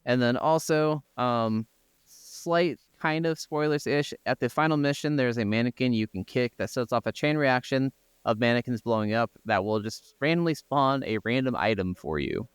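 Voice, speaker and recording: A man's voice: medium pitch, normal speed, clean tone, dry recording. A man chatting casually as co-host of a gaming podcast, telling things in an informal, meandering way. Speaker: video game podcast co-host.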